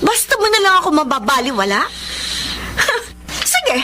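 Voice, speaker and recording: Very high-pitched, vindictive Filipino scheming woman. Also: very animated, measured pace, sharp tone, exaggerated voice. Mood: bitter, menacing. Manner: taunting, threatening, melodramatic. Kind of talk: Filipino radio drama acting. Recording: noisy radio drama recording.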